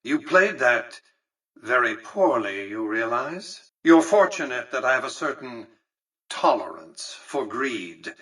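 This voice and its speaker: An actor playing an imperious, calculating old tycoon in a video game, speaking formally and precisely with pompous condescension. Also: male voice, mid-range voice, sing-song, takes it slowly, a bit bright, slightly rough, recorded from a distance, exaggerated voice. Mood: condescending. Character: imperious, calculating, centuries-old male tycoon ruling a futuristic Vegas. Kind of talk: scripted video game character dialogue.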